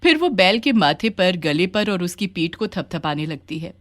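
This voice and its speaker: A woman's voice: fairly low pitch, very animated, moderate pace, fairly bright tone. A woman storyteller reading scripted narration for a children's moral tale.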